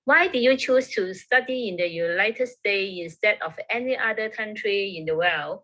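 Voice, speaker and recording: A woman's voice: medium pitch, moderate pace, bright, forceful tone. A young woman student interviewing a friend for a class recording. She asks questions politely in simple learner's English.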